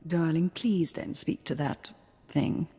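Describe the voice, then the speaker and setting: A woman's voice: sits low, slow, warm tone, breathy edge, big-room sound, exaggerated voice. An actress playing a snobbish, bossy noblewoman in a video game, genteel and disdainful.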